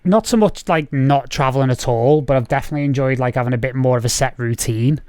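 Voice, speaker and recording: A man's voice: mid-range voice, fast, warm tone, dry recording, studio quality. A man in his thirties with a Scouse accent, hosting a casual video-call interview from a room at home and rambling with many fillers. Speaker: podcast host. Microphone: studio mic on boom arm, video call.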